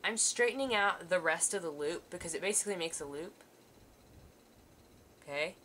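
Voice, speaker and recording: A woman's voice: lowish voice, animated, moderate pace, a bit bright, slight background noise. A woman art teacher giving a patient, reassuring step-by-step sewing demonstration while working with her hands at a table. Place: indoors at a table, overhead camera on hands.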